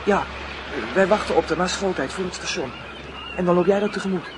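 Man acting high-voiced nervous lovestruck schoolboy. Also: brisk, background noise, natural voice. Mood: shy, eager. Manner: insistent, hesitant, repeats instructions. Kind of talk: radio drama acting.

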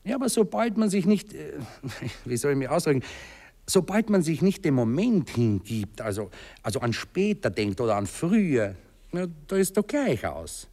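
A posh viennese count, old radio play, polite and stammering, rambles philosophically, voice swings up and down a lot. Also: male voice, on the high side, sing-song, normal speed, dark tone. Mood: musing, rambling. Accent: Viennese Austrian. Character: courtly, hesitant, aristocratic count and cavalry officer, philosophising. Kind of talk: 1960s German-language radio drama acting.

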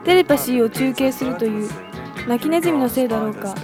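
A woman's voice: fairly high pitch, animated, fast, over music, close to an everyday voice. A woman playing a sensitive, troubled adolescent boy in an amateur radio drama, with an earnest, brooding delivery.

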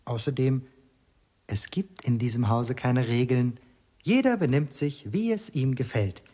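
A man's voice: mid-range voice, expressive, measured pace, warm tone, close to an everyday voice. An actor playing a young male servant in a German radio drama, enigmatic and obedient, speaking formally and cryptically. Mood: softly inviting.

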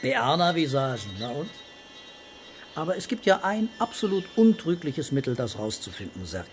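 An actor playing a middle-aged police commissioner in a radio crime drama, speaking in a dry, sarcastic, unflustered way. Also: male voice, on the high side, sing-song, normal speed, slight background noise. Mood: sardonic, dismissive. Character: male police commissioner, unafraid, sardonic, stubbornly denying fatherhood.

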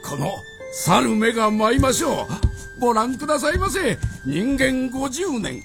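A man's voice: very high-pitched, big pitch swings, a little slow, creaky, over music, exaggerated voice. A man playing a fawning, clownish samurai retainer with obsequious, theatrical speech in a Japanese period radio drama. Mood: clownish, excited.

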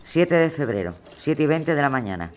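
A man's voice: fairly high pitch, big pitch swings, moderate pace. A man playing a formal narrator who announces scenes in an audio drama, in terse scripted phrases. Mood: solemn. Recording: faint background noise.

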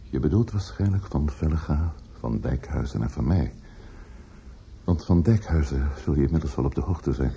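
An actor in a radio play playing a defensive, grieving older man, earnest and halting, often repeating words. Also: very deep voice, expressive, gravelly, dark tone, husky, heavily acted. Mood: troubled. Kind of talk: Dutch radio play acting. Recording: dull, noisy old radio recording.

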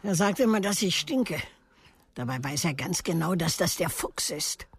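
A woman's voice: on the low side, lively intonation, normal speed, husky. An actress playing a blunt, grumbling, peculiar old woman patient in a radio drama. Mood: grumbling, confiding. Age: older.

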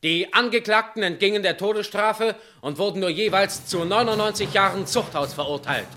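A man's voice: sits high, normal speed, sharp tone, slight background noise. A man narrating the close of an old radio drama in a formal, matter-of-fact way. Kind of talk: scripted narration.

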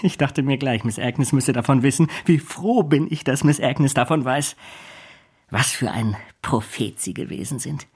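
An actor playing an obsequious, scheming clerk in a German radio drama, unctuous and full of false humility. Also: male voice, medium pitch, moderate pace, darkish tone, slightly rough. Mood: gloating humility. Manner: fawning, self-abasing, unctuous.

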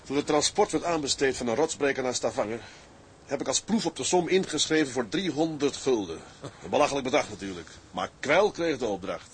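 An actor playing a determined tugboat skipper in a radio drama, speaking in an earnest, deliberate way. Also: male voice, medium pitch, expressive, lightly acted. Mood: resigned, indignant. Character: determined Dutch tugboat skipper, patient but embittered by rivals. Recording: clear ambient noise behind.

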